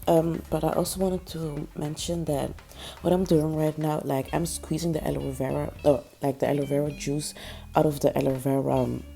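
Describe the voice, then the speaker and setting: A woman with a very low voice, made deeper still and gravelly by a cold. She talks through a hair-care how-to in a loose, chatty way, full of ums and likes, and the tone is dark and mellow. Faint music plays underneath. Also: level tone, normal speed. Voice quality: naturally low voice. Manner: chatty, many ums and likes, small laughs. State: sick, voice even lower than usual. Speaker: natural hair vlogger. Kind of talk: casual how-to vlog.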